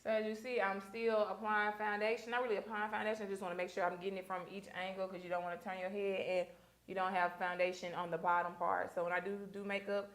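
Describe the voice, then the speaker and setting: A woman's voice: mid-range voice, level tone, quick pace, fairly bright tone. Chatty young woman in her twenties. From Mississippi. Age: 20s. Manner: chatty, explains her steps as she works. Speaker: makeup artist. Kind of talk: casual chat while working. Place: beauty studio.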